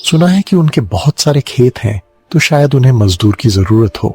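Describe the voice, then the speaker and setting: A man voicing a kind, humble villager in a cartoon story, earnest and pleading, with a husky, creaky voice. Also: mid-range voice, expressive, moderate pace, darkish tone, soft background music, natural voice.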